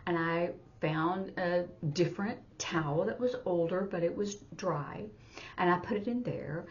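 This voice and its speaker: A woman teacher retelling a story informally for a lesson, in a halting, step-by-step way. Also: fairly low pitch. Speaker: sign language teacher. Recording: dull, muffled lo-fi audio.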